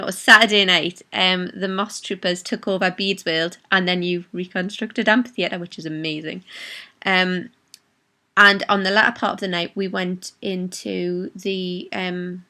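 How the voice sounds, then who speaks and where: A woman's voice: fairly low pitch, pushed and bright. A woman casually introducing her video, chatty with many ums and restarts. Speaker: vlogger.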